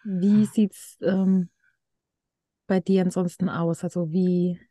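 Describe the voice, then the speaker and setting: A woman hosting a podcast, speaking in a friendly, softly spoken way. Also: lowish voice, little pitch movement, slow, no echo. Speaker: podcast host.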